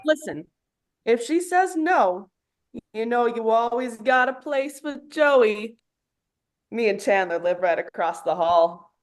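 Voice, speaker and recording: Slow-paced, cocky young guy, read by a woman. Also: a little high, expressive. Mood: cocky, teasing. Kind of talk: sitcom table read.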